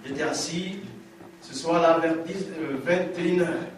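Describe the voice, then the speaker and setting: A high-pitched man preaches his testimony in an emphatic way and hammers home lines by repeating them. He is caught from a distance in a very echoey hall, with a faint room hum behind him. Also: a little slow. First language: African language. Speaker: testimony speaker.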